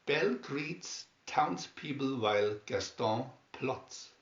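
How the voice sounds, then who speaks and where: A man reading scripted storybook captions in a deliberate, announcing manner. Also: medium pitch, lively intonation. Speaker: narrator reading a picture-reel booklet. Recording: dull, roomy sound.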